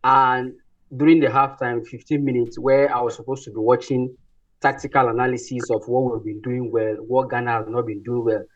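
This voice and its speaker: A man's voice: medium pitch, flat delivery, brisk, dry recording, recorded from a distance, dull audio. A man from Nigeria spinning jokey what-if scenarios on a sports podcast. His voice comes from a little away from the mic, and the sound is dry. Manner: jokey, illustrative storytelling. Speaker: podcast co-host. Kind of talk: casual sports podcast chat.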